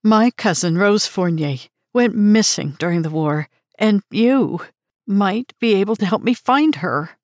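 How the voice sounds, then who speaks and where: A woman's voice: medium pitch, lively intonation, slow, hardly any pauses, darkish tone, no room sound, studio quality, pitched above the performer's own voice. Woman reader doing a young American woman: urgent, pleading, raised. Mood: earnest.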